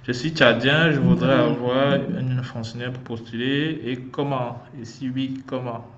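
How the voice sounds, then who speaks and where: A young man hosts a casual livestream, chatty and halting, calling out to his viewers. He speaks through a headset in a small room. The video-call audio is a little muffled, with a faint hiss underneath. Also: medium pitch, fairly even intonation. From Cameroon. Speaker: host of a student livestream.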